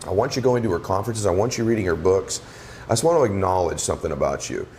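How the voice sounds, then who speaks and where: A deep-voiced middle-aged man hosting a podcast, talking with eager, effusive energy. His low voice carries over a faint hiss of background noise. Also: quick pace. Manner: effusive, animated, heaps on praise. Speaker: podcast host. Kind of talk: enthusiastic interview chat.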